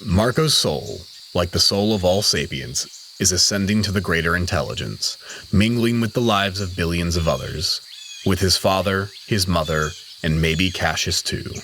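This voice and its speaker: Deep-voiced man narrating a solemn story. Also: animated, moderate pace, a bit bright, a little hiss or hum. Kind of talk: scripted dramatic story narration.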